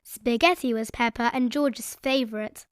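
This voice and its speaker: A woman narrating a children's read-aloud story in an expressive, measured storytelling manner. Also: fairly high pitch, clear, resonant tone, dry recording, clean studio sound.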